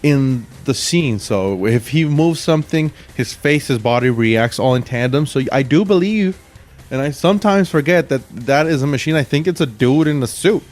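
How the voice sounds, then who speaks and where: A man's voice: a little high, lively intonation, normal speed, darkish tone, faint music behind. A man co-hosting a podcast, discussing movies in an agreeable, conversational way. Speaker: podcast co-host. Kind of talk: casual movie review chat.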